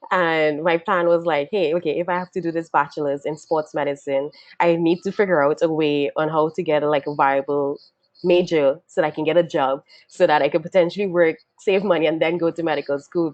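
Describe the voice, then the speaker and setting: A woman's voice: low voice, darkish tone, no echo. A woman from Trinidad and Tobago telling her story casually on a webinar, chatty, with lots of fillers and laughter. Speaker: webinar panelist. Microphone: video call. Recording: dull lo-fi video call audio.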